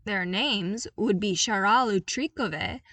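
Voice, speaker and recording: A woman's voice: medium pitch, takes it slowly, a bit bright, no room sound. Woman voicing a firm, dutiful trooper commander. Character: dutiful male military commander, crisp and formal. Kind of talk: fan fiction audiobook reading.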